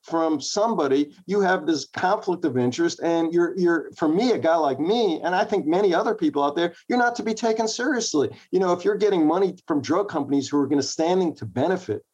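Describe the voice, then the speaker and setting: Slightly muffled, lo-fi audio of a man with a moderately high voice. He speaks as an interview guest, giving opinions emphatically and repeating or rewording points as he goes. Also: animated, fairly quick, few pauses, a little mellow. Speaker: interview guest, mental health professional and author.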